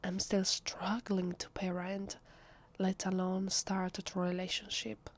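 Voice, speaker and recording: An awkward, shy female vampire passing as human , played by a woman in an ASMR roleplay. She has an Italian accent and a soft, breathy half-whisper. Her delivery is slow and hesitant, with a flustered stammer. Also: mid-range voice, monotone, natural voice. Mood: guarded, wistful. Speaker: voice actress.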